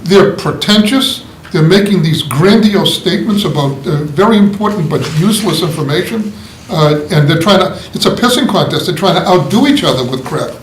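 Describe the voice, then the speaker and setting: A man's voice: sits high, expressive, moderate pace, few pauses. A man giving a blunt, opinionated critique in a casual writing group discussion. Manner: blunt and opinionated, laughing at his own remarks. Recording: roomy room with faint noise.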